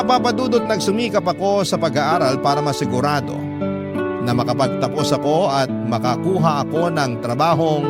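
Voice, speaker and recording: Man narrating over guitar music. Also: on the high side. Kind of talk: first-person letter narration for radio drama.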